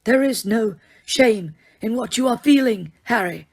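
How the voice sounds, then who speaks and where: Woman narrator doing an elderly wizard headmaster: calm, quiet, higher voice, serene storybook delivery. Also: unhurried. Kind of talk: audiobook character reading.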